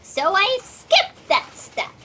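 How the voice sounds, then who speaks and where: A woman's voice: very high voice, huge pitch swings, slow, bright, forceful tone, exaggerated voice. A woman playing an eager, chatty fact checker in a comic sci-fi audio drama, perky and a little hesitant. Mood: eager, flustered. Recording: noisy home video-call audio.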